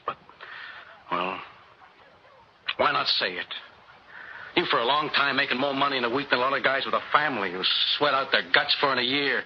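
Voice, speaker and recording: A man's voice: medium pitch, close to an everyday voice. An actor playing a plain-spoken, morally indignant working man in a 1960s radio drama, speaking in earnest, colloquial phrases. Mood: hesitant, brooding. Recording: muffled, hissy old radio recording.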